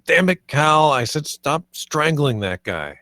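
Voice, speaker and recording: A man's voice: a little high, sing-song, slow, sharp tone, no echo, full character voice. Man as an annoyed bro-ish slacker, dry sound. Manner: laid-back, bro-like. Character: casual, slangy, annoyed young slacker defendant. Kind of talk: comedy script table read.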